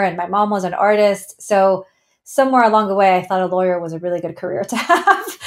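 A woman in her thirties, originally from Ecuador, telling her founder story earnestly in an interview and laughing now and then. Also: mid-range voice. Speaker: founder guest. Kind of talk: interview conversation.

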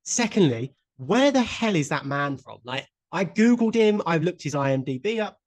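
A man's voice: on the high side, big pitch swings, moderate pace. A man co-hosting a podcast, chatting casually with strong opinions and the odd swear word. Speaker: podcast co-host. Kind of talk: casual chat.

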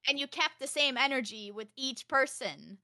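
A young woman from Texas chatting on a podcast, loud and animated, telling stories fast with a close mic. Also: high voice, no echo. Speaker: podcast guest, streamer. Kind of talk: casual podcast chat.

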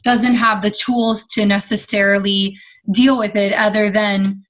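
A woman in her forties from Miami, a podcast guest who tells her story in a chatty, animated way. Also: medium pitch, unhurried. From Miami, Florida. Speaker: podcast guest, wellness platform founder. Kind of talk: casual interview.

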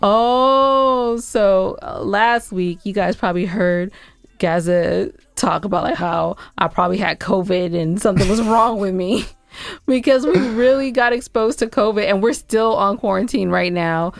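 A woman's voice: mid-range voice, measured pace, gravelly, no room sound. An American woman co-hosting a podcast, chatting casually and giving show updates with playful banter. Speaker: podcast co-host.

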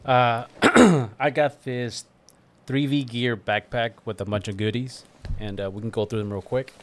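A man from Mexico whose first language is Spanish, chatting as a podcast guest in an easygoing, halting way. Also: medium pitch. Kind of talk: casual podcast chat.

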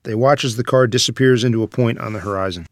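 A man's voice: medium pitch, fast talker, dry recording. Male narrator, dry close sound. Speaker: narrator reading stage directions. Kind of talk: scripted screenplay narration.

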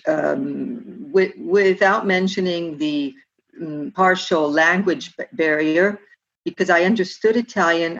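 A woman from Southern California, a historian, answering interview questions in a halting way with many restarts. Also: low-pitched, highs cut off. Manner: halting, with frequent restarts and filler words. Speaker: guest historian and guide. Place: remote, home in Palermo.

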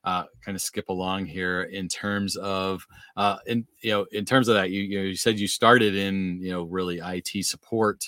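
A man's voice: sits low, steady tone, normal speed, sharp tone, no echo. A man hosting a podcast, asking long questions in a hesitant, roundabout way. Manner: hesitant, many fillers and restarts. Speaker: podcast host. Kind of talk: interview questions.

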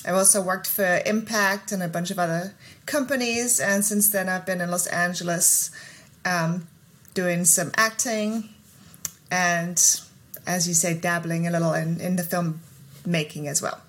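A woman's voice: fairly low pitch, fairly even intonation, a little slow, a bit bright. A woman who grew up in Germany, chatting as an interview guest in a friendly way with small laughs. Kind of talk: casual interview chat.

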